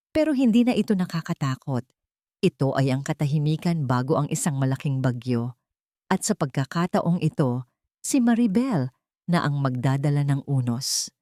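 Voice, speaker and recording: Woman narrating a dramatic story, lowish voice. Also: a little mellow, no echo, studio quality. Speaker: audiobook narrator.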